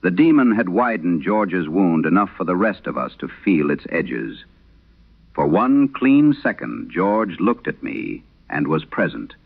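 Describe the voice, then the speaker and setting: Guy doing an old haunted priest telling a radio horror tale, real deep and slow, solemn. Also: very animated, vocal fry, husky, phone-quality sound, exaggerated voice. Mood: grim, intense. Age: older. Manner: solemn, deliberate, dramatic. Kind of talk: scripted horror radio story narration.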